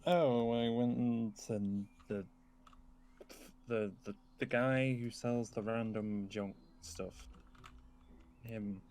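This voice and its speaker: A man playing an evasive, dry-humoured survivor in a zombie game roleplay, speaking in a halting, understated way. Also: mid-range voice, steady tone, a little mellow, lightly acted. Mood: searching, vague. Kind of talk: improvised roleplay dialogue.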